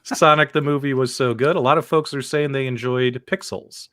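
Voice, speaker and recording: A man's voice: medium pitch, fairly even intonation, moderate pace, a bit bright, no room sound, clean studio sound. A man hosting a casual live talk show about video game movies, chatty and laughing, with frequent fillers. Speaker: host. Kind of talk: casual livestream chat.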